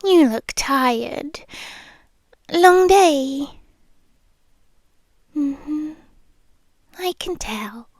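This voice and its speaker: Woman playing a slow, high-voiced British vampire girlfriend. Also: animated, no room sound, clean studio sound, heavily acted. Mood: soothing, pitying. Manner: slow, hushed, lingering, coaxing with soft pauses. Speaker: ASMR roleplay performer. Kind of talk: intimate girlfriend roleplay ASMR, often whispered. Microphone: close microphone, dry.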